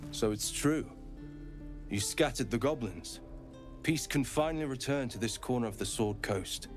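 A plain-spoken, relieved refugee man from a fantasy video game, voiced by an actor, at middle pitch. Clear music plays under him. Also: lively intonation, moderate pace, natural voice.

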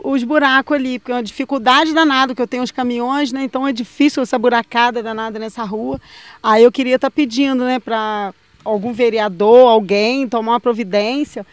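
Pleading man with a very high, smooth voice. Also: no echo. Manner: pleading appeal. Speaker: resident interviewed. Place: outdoors.

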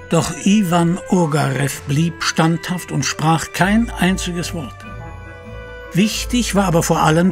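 A man narrating an adventure audio drama in a dramatic, articulate storytelling style. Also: a little high.

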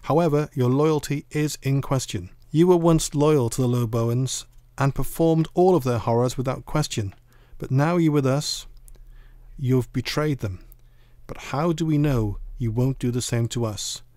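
An actor playing a stern, sceptical military commander in a science-fiction audio drama, speaking formally and with authority. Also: male voice, mid-range voice, a little slow, mellow, dry recording, natural voice. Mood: stern, distrustful.

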